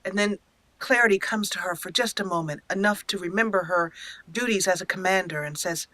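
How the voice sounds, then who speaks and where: A woman hosting a let's play, narrating it as an expressive storyteller and speaking for her character. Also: medium pitch, fairly quick, dry recording. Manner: expressive storyteller, acting out lines. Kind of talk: roleplay storytelling over gameplay.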